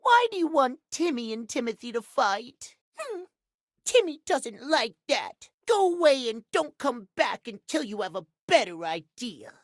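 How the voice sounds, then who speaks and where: A man's voice: extremely high pitch, sing-song, measured pace, no room sound, clean studio sound, heavily acted. A man voicing a cute, needy, childlike cat creature in a video game, with babyish, whiny, pouty delivery. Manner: babyish third-person cutesy talk, whiny. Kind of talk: video game character voice acting.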